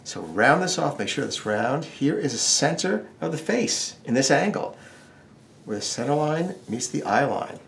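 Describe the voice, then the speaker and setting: Wry man with a creaky, animated voice. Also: medium pitch, moderate pace, slight background noise. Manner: wry, joking asides. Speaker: drawing instructor. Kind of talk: casual step-by-step drawing tutorial.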